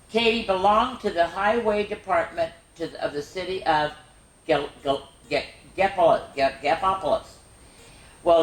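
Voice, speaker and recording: A woman hosting a children's story time, reading picture books aloud in an animated way with chatty, exclaiming asides. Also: lowish voice. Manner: animated, repeats phrases for emphasis, exclaims. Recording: roomy, echoing room sound.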